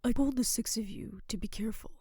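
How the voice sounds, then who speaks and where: A woman's voice: a little high, fast talker, darkish tone, husky, no echo, whispered, clean studio sound. A woman playing an exasperated, protective guardian in an audio drama, scolding her reckless charges in a stern, commanding, emphatic way. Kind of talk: scripted audio roleplay drama acting.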